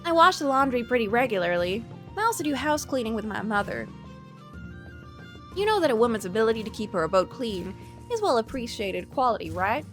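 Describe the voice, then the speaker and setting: A woman voicing a hardworking, modest young farm woman in a visual novel, matter-of-fact and gently instructive. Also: a little high, sing-song, brisk. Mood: brisk, teasing. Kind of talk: visual novel character reading. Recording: background music.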